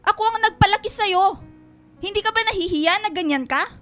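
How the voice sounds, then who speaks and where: A woman's voice: very high-pitched, brisk, a bit bright, soft background music. A woman playing a proud, scolding older Filipino aunt in a radio drama, nagging with reproachful emphasis. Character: scolding, proud older aunt who raised her nephew.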